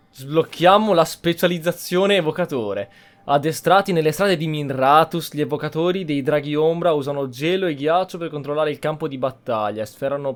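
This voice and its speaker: An Italian man streaming a game playthrough, reading on-screen text and commenting, with game sound behind him. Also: on the high side. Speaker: gaming streamer. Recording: clear background game noise. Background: video game sound.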